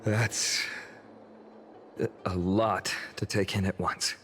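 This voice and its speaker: An actor playing a level-headed, mild young adventurer in a video game, speaking plainly and a little wryly. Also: male voice, on the low side, level tone, takes it slowly, fairly bright tone, a little hiss or hum. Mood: taken aback. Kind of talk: scripted video game voice acting.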